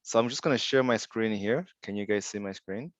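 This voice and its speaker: A man's voice: fairly low pitch, fairly quick, no echo. A young man from Zimbabwe giving an upbeat webinar presentation, telling stories with asides. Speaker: insurance sales director presenting.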